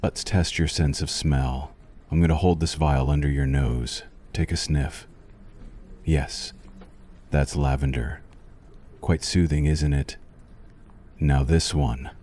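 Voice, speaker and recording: Male actor as vampire doctor for ASMR: extremely low, mellow voice, formal, possessive, softly menacing. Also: steady tone, slow. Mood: clinical, teasing. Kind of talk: ASMR roleplay, scripted monologue to the listener.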